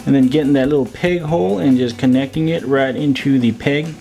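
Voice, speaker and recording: A man's voice: mid-range voice, brisk, gravelly, warm tone, soft background music. A man giving a casual, jokey action figure review as host, with a playful manner and silly made-up words. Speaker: toy reviewer and video host.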